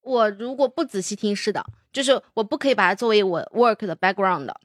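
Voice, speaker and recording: A woman guest on a podcast, chatting quickly and dropping English words into her Mandarin. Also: mid-range voice, expressive, dry recording, studio quality. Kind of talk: casual chat.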